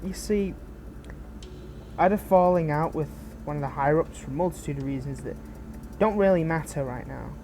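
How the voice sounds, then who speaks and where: Woman acting a low, mellow betrayed fallen hero. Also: a little fast, pauses often, slight background noise. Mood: worried, frustrated. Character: betrayed fallen hero, protective, bitter, caring mentor. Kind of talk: scripted audio roleplay addressed to the listener.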